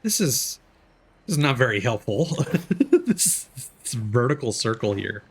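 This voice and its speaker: A man's voice: mid-range voice, lively intonation, a little slow, breathy edge. Casual man streaming a video game. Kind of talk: casual gaming livestream commentary.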